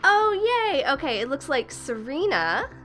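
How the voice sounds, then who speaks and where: A woman hosting a relaxed livestream, her voice bright and a touch high. She is a little stuffy from a cold, chats cheerfully, and greets viewers by name. Soft music plays faintly underneath. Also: unhurried. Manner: chatty, upbeat, greets viewers by name and laughs often. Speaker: livestream host.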